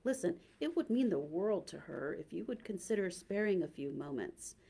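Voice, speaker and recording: A woman reading the part of an older man, gentle and pleading. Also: on the low side, sing-song, moderate pace, creaky, slightly rough, heavily acted. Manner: pleading, hesitant.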